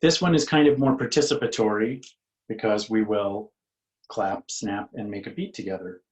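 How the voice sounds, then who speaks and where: A man's voice: fairly low pitch, steady tone, moderate pace, echoey room, rough recording. A man reading a picture book to young children as its author, in a singsong, inviting way with questions for listeners.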